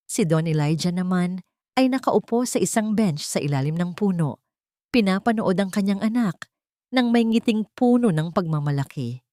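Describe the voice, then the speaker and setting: A woman narrator reading a scripted audio drama in a sentimental, measured, dramatic way. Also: on the low side, darkish tone, no room sound, studio quality. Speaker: storyteller narrator.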